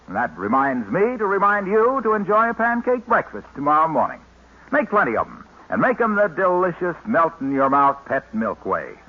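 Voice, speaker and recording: Lively, high-pitched old-time radio announcer. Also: male voice. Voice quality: upbeat, hard-selling. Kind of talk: sponsor announcement and show intro. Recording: muffled 1950s radio broadcast.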